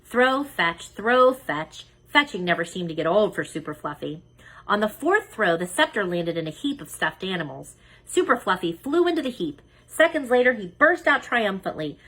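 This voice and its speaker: Bright, husky woman reading aloud. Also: mid-range voice, normal speed, poor audio. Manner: expressive, emphatic. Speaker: children's book reader. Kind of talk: animated read-aloud story narration.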